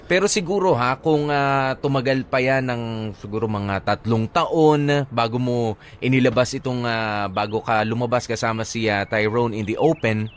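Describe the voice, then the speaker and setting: A man with a Filipino accent in casual, reflective conversation as a radio co-host, slipping some English into his commentary. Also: medium pitch. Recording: clear background noise.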